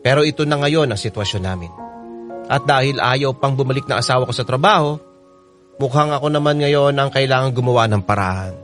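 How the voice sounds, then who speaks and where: A man voices a troubled letter writer narrating family hardship for a radio drama. The delivery is scripted, earnest and confiding, at a medium pitch. Soft music plays faintly underneath. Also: brisk, lightly acted. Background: background music.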